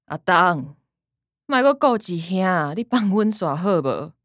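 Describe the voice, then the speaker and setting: Sarcastic, animated Taiwanese granddaughter. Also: female voice, lowish voice, slow, dry recording, clean studio sound. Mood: bitter, fed up. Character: blunt, sarcastic, impatient younger woman of the family. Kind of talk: radio drama acting.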